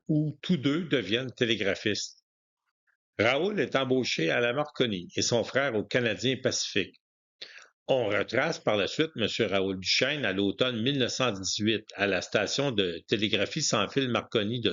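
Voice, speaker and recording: A man's voice: on the low side, sharp tone. Man narrating history, dull lo-fi recording. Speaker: documentary narrator.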